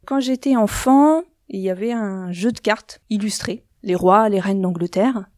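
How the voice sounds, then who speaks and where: A woman's voice: medium pitch, a little slow, a little mellow, no echo, studio quality. A middle-aged woman from central Brittany, a guest describing her card game in casual chat, with frequent fillers and restarts. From central Brittany, France. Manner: hesitant, many fillers and restarts.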